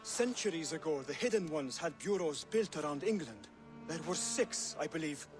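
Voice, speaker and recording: A man playing an earnest, devout young assassin scholar in a video game, speaking in a measured, formal, instructive way. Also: a little high, animated, music in the background, natural voice. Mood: grave, persuasive. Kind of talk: video game cutscene acting.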